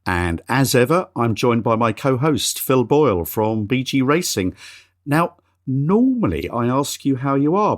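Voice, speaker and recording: A middle-aged man hosting a horse racing podcast in an easygoing, chatty way. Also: medium pitch. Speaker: podcast host. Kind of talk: casual podcast chat.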